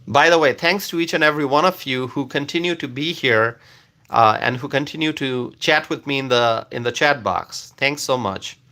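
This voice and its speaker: A man's voice: medium pitch, moderate pace, sharp tone. A man whose first language is Bengali, hosting a casual live stream, reading viewer comments aloud and thanking people by name, with frequent fillers.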